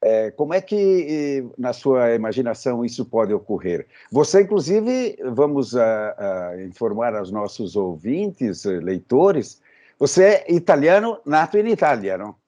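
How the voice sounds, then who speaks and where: A man working as an interviewer, putting his questions haltingly with pauses in mid-sentence. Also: mid-range voice, big pitch swings, warm tone, lo-fi sound. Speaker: interviewer for a magazine.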